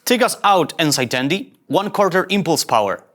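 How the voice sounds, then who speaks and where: An actor playing a nervous young male ensign who bluffs as a bold captain in a comic sci-fi table read, halting and self-doubting. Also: mid-range voice, animated, fairly bright tone, lightly acted. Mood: flustered authority.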